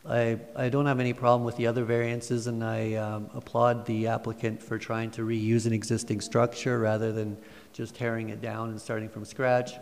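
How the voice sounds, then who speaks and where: Brief: measured male board member, gravelly and flat, in an echoing hall. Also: medium pitch. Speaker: planning commission member. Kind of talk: formal meeting commentary. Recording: reverberant meeting room.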